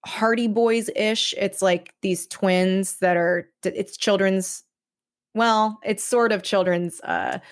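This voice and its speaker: A woman talking casually as a podcast guest about her own creative work, in a halting, informal way. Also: medium pitch, fairly even intonation. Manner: halting, informal, frequent "like" and "kind of". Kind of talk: casual chat.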